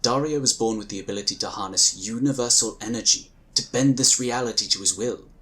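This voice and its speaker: A man voicing a calm, dutiful space agent. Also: on the low side, lightly acted. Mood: calmly insistent. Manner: measured, polite, firm. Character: calm, dutiful male psionic scion agent. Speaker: character in audio drama.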